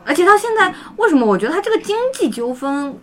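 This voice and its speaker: Brisk, animated woman with a highish voice. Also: a little hiss or hum. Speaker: guest legal commentator. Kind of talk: casual podcast discussion of legal matters.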